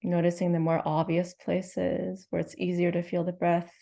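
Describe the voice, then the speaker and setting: Meditation teacher, female, low, warm tone with a soft gentle delivery. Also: completely flat delivery, gravelly. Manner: slow, gentle, soothing instructions with long pauses. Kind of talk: guided meditation.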